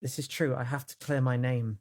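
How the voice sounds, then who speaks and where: A woman playing a tabletop role-playing game, improvising casual in-character chat with halting, questioning delivery. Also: very deep voice, steady tone, a little mellow.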